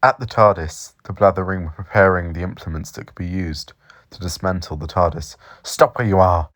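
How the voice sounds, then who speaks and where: Male story reader, low pitch, scripted narration, audio short story. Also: fairly even intonation, brisk, fairly bright tone.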